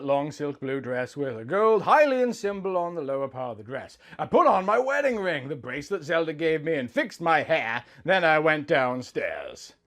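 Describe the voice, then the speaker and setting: Romance story narrator: lovestruck young bride, performed by a man, overwrought and swooning, highly animated pitch. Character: young woman narrating her honeymoon, breathy, lovestruck. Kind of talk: melodramatic romantic fan fiction reading.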